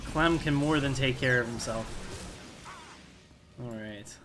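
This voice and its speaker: A male gaming host with a medium-pitched voice, talking casually through his playthrough. He rambles in a chatty way, with light music underneath. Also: slow. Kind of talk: casual let's play commentary.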